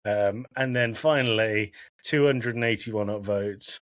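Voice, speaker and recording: A man's voice: on the low side, measured pace, a bit bright, no room sound. A man hosting a podcast, talking casually about films in a conversational style. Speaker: podcast host. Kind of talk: casual film discussion.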